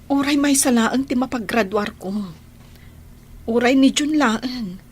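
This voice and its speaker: A woman's voice: high voice, measured pace, husky. A woman acting in a Filipino radio drama as a devoted, reassuring eldest sister in her thirties, with an Ilocano accent. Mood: earnest, resolute. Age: 30s. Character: devoted, self-sacrificing eldest daughter in her thirties going abroad.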